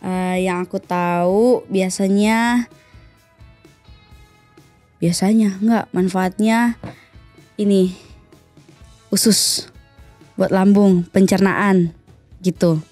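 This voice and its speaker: Woman from manado talking real slow, searching for words, music behind. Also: medium pitch, fairly even intonation, darkish tone. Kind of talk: casual interview.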